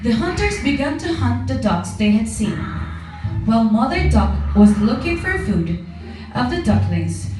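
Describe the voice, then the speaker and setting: A woman narrating a scripted children's story as the storyteller of a puppet show. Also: on the high side. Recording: very echoey room, distant mic, music.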